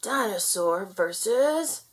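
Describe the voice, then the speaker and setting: A woman narrating a picture book aloud for children in an expressive, performed style. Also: medium pitch, slow. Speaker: storybook reader.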